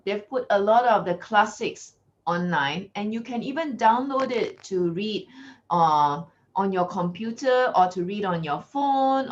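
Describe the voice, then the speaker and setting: A woman from Singapore with a Singaporean English accent, giving an online lecture in a clear, measured teacherly way. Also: medium pitch. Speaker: guest lecturer. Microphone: video call. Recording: lo-fi video call audio.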